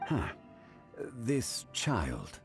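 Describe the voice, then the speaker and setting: An actor playing a composed, scholarly gentleman in a video game, speaking in a formal, measured way. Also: on the low side, huge pitch swings, creaky, full character voice. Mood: calm, inquiring. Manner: formal, measured, deliberate wording. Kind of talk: video game dialogue acting. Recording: loud game music behind.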